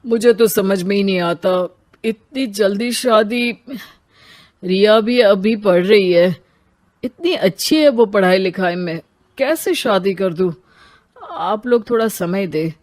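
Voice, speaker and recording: A woman's voice: mid-range voice, animated, slow, darkish tone. A woman voicing a reluctant middle-aged village father in a cartoon story, puzzled and protesting.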